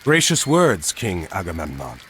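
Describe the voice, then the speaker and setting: An actor playing a proud, battle-weary admiral hero in a mythological video game, firm, dignified and commanding. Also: male voice, sits low, wildly swooping pitch, unhurried, fairly bright tone, slight background noise, full character voice. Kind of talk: video game cutscene acting.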